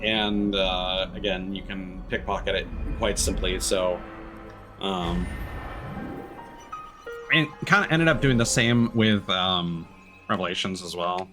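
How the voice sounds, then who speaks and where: Male game streamer, low pitch, casual let's play commentary, wry understated asides, game music behind. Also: slow, pauses often. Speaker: streamer playing a video game.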